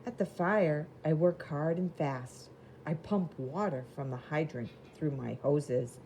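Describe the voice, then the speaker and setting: A woman voicing a proud, eager little red fire engine in a children's storybook, with simple, expressive delivery. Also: deep voice, takes it slowly, a little hiss or hum. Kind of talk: children's storybook character narration.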